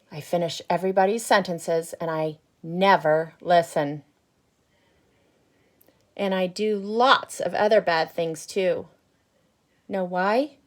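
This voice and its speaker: A grumpy little male seed from a picture book, acted out by a woman, confesses his badness with some pride. The delivery is slow and emphatic, stretching out the key words. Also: fairly low pitch. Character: boastful, grumpy little male sunflower seed, self-declared bad. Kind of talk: picture-book character read aloud.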